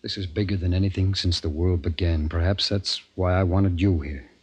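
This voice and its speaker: An actor playing a driven, uneasy scientist in an intense, halting 1950s radio drama style, secretive and insistent. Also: male voice, sits low, fairly even intonation, a little mellow, husky. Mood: tense, focused. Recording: noisy 1950s radio recording.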